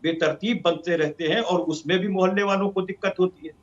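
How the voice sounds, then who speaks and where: A high-voiced man argues as a party spokesman. He speaks like an orator in long, piled-up sentences, and the remote connection is dull. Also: fairly even intonation, a little fast. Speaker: political party spokesman, debate guest. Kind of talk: political debate argument. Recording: dull, band-limited remote feed.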